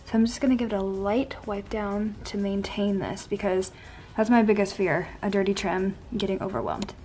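A woman's voice: medium pitch, fairly even intonation, normal speed, darkish tone, a little hiss or hum. A young woman in a casual vlog voice-over, chatty and upbeat, talking viewers through her cleaning routine step by step. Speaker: home vlogger.

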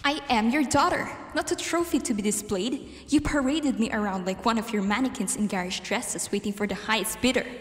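An echoing hall surrounds a woman acting as a proud, sarcastic rich daughter in a student radio play. Her voice is fairly high, cutting and defiant. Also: fairly quick, few pauses, close to an everyday voice. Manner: cutting, sardonic. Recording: echoey, reverberant hall sound.

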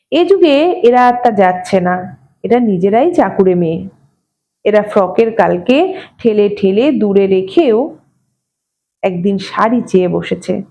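A woman narrating a literary short story expressively, in a measured, wry manner, as an audio story reader. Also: on the high side, mellow. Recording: slightly dull, muffled audio.

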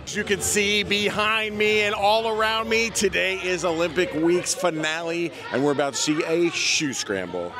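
A man's voice: high voice, moderate pace, hardly any pauses. A man hosting a casual vlog at an outdoor school event, chatty and upbeat, cheering the kids on. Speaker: vlog host. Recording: outdoor crowd noise with music behind. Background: crowd of kids and music.

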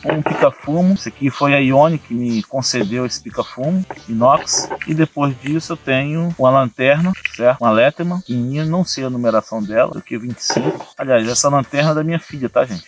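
A man's voice: medium pitch, a little fast, few pauses. A middle-aged man from Brazil casually showing and explaining the items of his everyday carry kit outdoors, often checking in with the viewers. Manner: explains each item, often adds "tá, gente?". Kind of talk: casual show-and-tell. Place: outdoors at a table in a yard.

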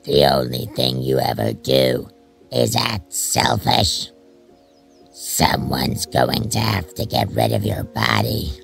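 A man voicing a menacing, taunting inner presence in an audio drama, accusing and relentless, with a gravelly voice. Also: very animated, takes it slowly, fairly bright tone, faint music behind, full character voice. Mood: cruel, accusing. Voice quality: very deep gravelly voice. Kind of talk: fan audio drama acting.

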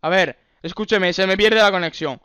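Prank-call police officer played by a man: high, smooth voice, officious, insistent, quick to lose patience, Basque. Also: sharp tone, no echo, clean studio sound. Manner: officious, insistent, easily exasperated. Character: bossy, short-tempered Basque police officer on the phone. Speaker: prank caller. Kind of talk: improvised phone prank.

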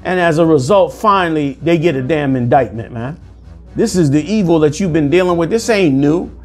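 A man's voice: a little high, lively intonation, normal speed, faint music behind. Fiery sermonizing man, raised voice. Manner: forceful, sermonizing, addresses listeners as "man". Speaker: commentator. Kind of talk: preaching commentary over news clips. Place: indoor room. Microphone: lapel mic.

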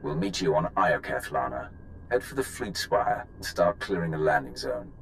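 An actor playing a ruthless dark emperor in a video game, speaking in curt, imperious commands. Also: male voice, rumbling low, big pitch swings, normal speed, slight background noise, heavily acted. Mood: commanding. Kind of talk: cinematic video game acting.

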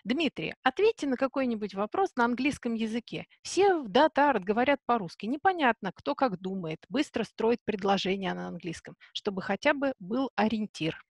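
A woman moderates an online talk, putting the audience's questions to the guest at a measured pace. Her voice is a little breathy, and it sounds close and dry with no room echo. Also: fairly high pitch, lively intonation. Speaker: host, moderator.

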